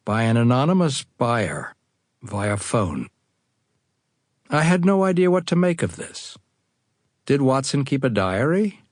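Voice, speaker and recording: A man's voice: medium pitch, a little mellow, no room sound. A man with an American accent narrating a first-person account for an audiobook, as an author reading his own writing.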